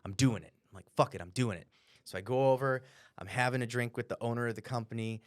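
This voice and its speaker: Deep-voiced American man telling stories. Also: fast talker, no echo, clean studio sound. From Cleveland, Ohio, United States. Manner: animated, profane, bro-like slang. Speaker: podcast co-host. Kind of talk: casual storytelling.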